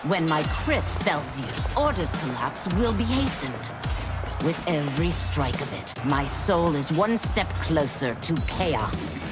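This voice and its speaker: Slow, menacing fanatical villain. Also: mid-range voice, very animated. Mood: zealous menace. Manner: menacing, declamatory. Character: fanatical chaos-worshipping warrior villain. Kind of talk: video game villain acting. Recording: loud music behind the voice.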